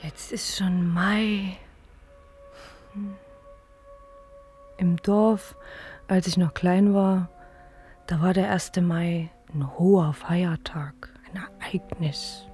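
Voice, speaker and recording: A woman's voice: lowish voice, fairly even intonation, very slow, pauses often, dark tone, soft background music. An actress playing an earnest, dreamy working woman in a German radio play, speaking simply and hesitantly. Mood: wistful, reflective. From East German mountain village. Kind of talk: German radio play acting, intimate monologue and dialogue.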